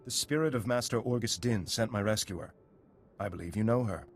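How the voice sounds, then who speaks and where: A man's voice: lowish voice, brisk, faint music behind, natural voice. Man acting a grave, weary Jedi scout. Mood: relieved, dutiful. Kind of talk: video game cutscene acting.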